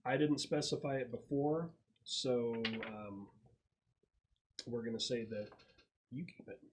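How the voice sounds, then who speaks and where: Casting: male game master, average pitch, informal and slangy, feeling his way through scene descriptions. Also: slow pace, background noise. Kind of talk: casual narration of a tabletop game.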